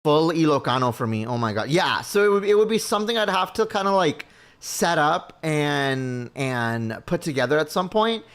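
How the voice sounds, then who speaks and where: A man's voice: a little high, lively intonation, moderate pace, a bit bright, smooth. A man from Mexico chatting casually with his stream viewers, rambling and thinking aloud as he goes. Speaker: livestream host.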